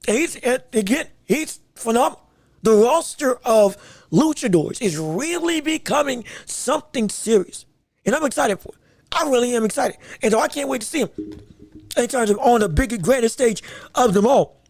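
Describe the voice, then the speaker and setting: A man hosting his own sports podcast, talking with a scratchy voice, rambling excitedly with lots of fillers and restarts. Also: extremely high pitch, moderate pace, dry recording. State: scratchy, strained voice. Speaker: podcast host. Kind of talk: solo sports and wrestling podcast chat.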